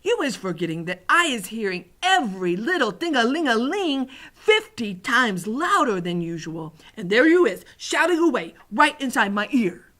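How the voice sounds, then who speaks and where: A woman voicing a simple-hearted, excitable friendly giant from a children's storybook, with folksy mangled grammar and drawn-out exclamations. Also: mid-range voice. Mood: pained, exasperated.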